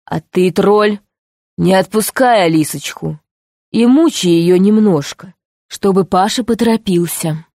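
A woman voicing a calm, mockingly sweet male underground tyrant in a storybook, speaking in a slow, deliberate, commanding way. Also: mid-range voice, no room sound, clean studio sound. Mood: mock-sweet menace. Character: calm, menacing male underground ruler, mockingly sweet, power-hungry villain.

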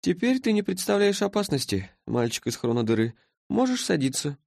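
A man's voice: sits high, sing-song, fairly quick, gravelly, a little mellow, no echo, clean studio sound, voice pushed up, full character voice. A man voicing a languid, sleepy female being from the far future in an audiobook, with a listless, clinical drawl. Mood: languidly pleased.

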